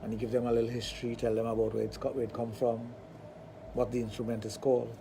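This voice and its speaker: A man's voice: medium pitch, fast, gravelly, background noise. Trinidadian man telling stories on radio. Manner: animated storytelling, acts out dialogue. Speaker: radio show host, sexologist. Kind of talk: radio talk show chat.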